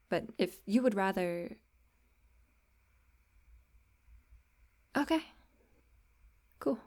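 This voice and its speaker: A woman playing a shy, affectionate young best friend in an intimate ASMR roleplay, speaking softly and hesitantly, often in a breathy near-whisper. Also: mid-range voice, dry recording, close to an everyday voice. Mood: casual, tentative. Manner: hesitant, gentle, halting. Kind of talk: intimate roleplay ASMR, soft-spoken acting.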